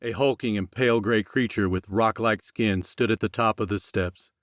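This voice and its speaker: A man with a fairly low voice narrates an audiobook. He reads scripted dramatic fiction, and the recording is dry, with no room sound. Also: steady tone, moderate pace, dry recording.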